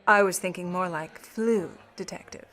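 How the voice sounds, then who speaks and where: An actress playing a haughty, droll 1950s Hollywood starlet with a sly streak in a radio play. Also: female voice, on the low side, normal speed, fairly bright tone, natural voice. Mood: arch disdain. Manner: arch, droll, witty.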